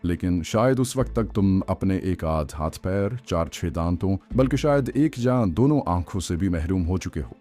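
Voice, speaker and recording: A man's voice: low-pitched, lively intonation, dark tone. A man voicing a cold interrogator in an audio story, grave and mocking as he delivers threats. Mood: icy, mocking. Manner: grave, icy, sardonic, unhurried threats. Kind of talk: audiobook villain acting. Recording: faint music bed under voice.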